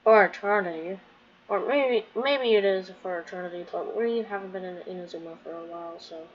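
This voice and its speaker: A woman's voice: lowish voice, fairly quick, a crowd in the background. Casual woman gamer on a muffled mic. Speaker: gamer streaming a playthrough. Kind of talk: casual game commentary. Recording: dull lo-fi mic, faint noise.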